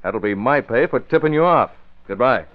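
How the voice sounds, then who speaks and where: An actor playing a resolute, terse and calculating crime fighter in a vintage radio drama. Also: male voice, mid-range voice, expressive, moderate pace, natural voice. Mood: terse, menacing. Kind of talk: 1940s-50s radio drama acting. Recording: muffled, noisy vintage radio recording.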